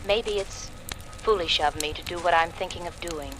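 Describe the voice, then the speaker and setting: An actress playing a weary, despondent wife, halting and resigned, heard on a home record in a 1940s radio drama. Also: female voice, on the low side. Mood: resigned, bleak. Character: despondent, weary wife leaving a farewell message. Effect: played back from a home record. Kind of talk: 1940s radio suspense acting. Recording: noisy, distant old record playback.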